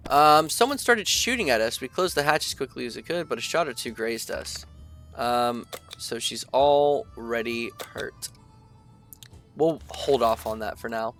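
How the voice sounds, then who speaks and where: A young man, stuffy from allergies, talks through a survival game in a relaxed, chatty way, pausing often to think out loud. His pitch sits right in the middle of the male range. Faint music plays behind him. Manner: relaxed, chatty, thinks aloud with many fillers. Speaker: gaming video creator. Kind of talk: casual let's-play commentary, reading game text aloud.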